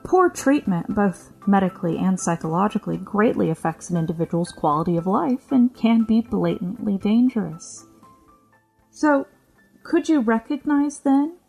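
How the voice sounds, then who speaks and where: A woman presenting a scripted explanatory podcast segment in a measured, lecturing manner. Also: mid-range voice, gravelly, a little mellow, husky, low-quality recording.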